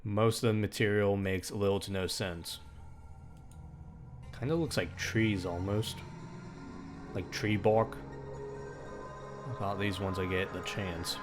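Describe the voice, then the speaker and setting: A man with a fairly low voice plays through a game casually over music. He reads on-screen text out loud and breaks off for offhand, muttered comments. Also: steady tone, takes it slowly, long pauses. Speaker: video game streamer. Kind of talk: casual let's play, reading game text aloud with asides.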